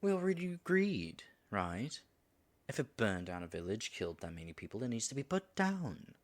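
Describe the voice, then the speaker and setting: A man's voice: on the low side, very animated, moderate pace. A man acting in an audio roleplay as a nervous male dragon disguised as a human guide, stammering and muttering asides to himself. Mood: persuasive, composed.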